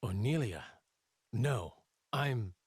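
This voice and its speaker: A man's voice: medium pitch, animated, drawn-out words, slightly rough, dry recording, studio quality, full character voice. A man playing a weary, aloof warrior in a video game cutscene, terse, solemn and subdued. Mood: quietly puzzled. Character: weary, aloof male warrior with quiet resolve.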